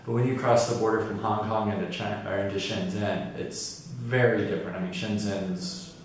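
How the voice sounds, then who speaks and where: A man's voice: lowish voice, fairly even intonation, normal speed. A man who is an expat, speaking articulately and reflectively in an interview. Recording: very echoey, distant, faint noise.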